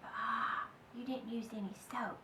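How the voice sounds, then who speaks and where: A woman voicing an excitable, anxious storybook mother who scolds and exclaims dramatically. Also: a little high, unhurried, voice pushed down. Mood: questioning, suspicious. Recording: echoey room, distant mic, faint noise.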